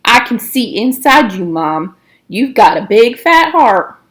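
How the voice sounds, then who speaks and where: A woman's voice: a little high, big pitch swings, slow, a bit bright, exaggerated voice. A woman voicing tough, cocky teenage boys at a camp, blunt and challenging, in a storybook reading.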